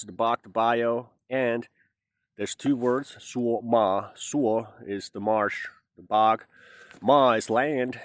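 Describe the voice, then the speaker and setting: A man's voice: lowish voice, no echo, studio quality. Finnish man with a Southern drawl. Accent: Southern American-style English. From Finland. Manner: rambling, chatty and joking, with many fillers. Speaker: vlogger. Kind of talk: casual monologue to camera.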